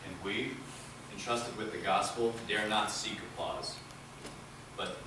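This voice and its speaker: A man's voice: fairly low pitch, unhurried. A man reading a script dramatically, in the declamatory manner of a preacher. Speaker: reader in a readers' theatre group. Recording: echoey hall, distant mic, loud noise.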